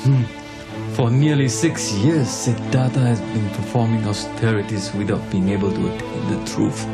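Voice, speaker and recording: An actor playing a worried, earnest follower of an ascetic prince, grave and concerned, in an animated film. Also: male voice, lowish voice, normal speed, loud music behind the voice, natural voice. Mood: pondering.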